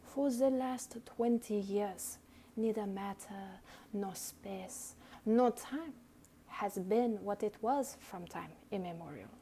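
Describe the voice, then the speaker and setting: Over clear background noise, a woman with a soft-edged, mellow tone performs a dramatic reading of an essay. She takes her time, theatrical and deliberate, letting pauses land between phrases. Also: mid-range voice. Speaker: reader.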